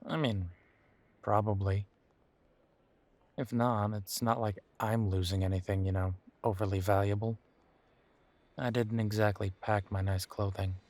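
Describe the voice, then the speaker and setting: Low, slow-talking wry boyfriend played by a man. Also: fairly even intonation, darkish tone, natural voice. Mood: reluctant, dry. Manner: hesitant, wry, casual, with trailing pauses. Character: weary, wry male werewolf boyfriend estranged from his family. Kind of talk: intimate roleplay audio drama, conversational monologue.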